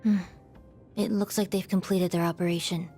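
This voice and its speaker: A woman's voice: fairly low pitch, steady tone, normal speed, mellow, husky, soft background music. A woman voicing a detached, cryptic young woman in a video game, speaking in a cool, blunt, terse way. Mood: cool, probing.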